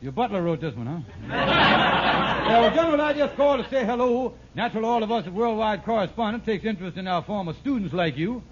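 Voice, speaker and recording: High pitched scheming sitcom husband, blustery n hesitant, muffled noisy old radio. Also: male voice, expressive, brisk. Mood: blustering, slick. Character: fast-talking scheming husband and con man with poor grammar. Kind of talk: mid-century radio sitcom acting.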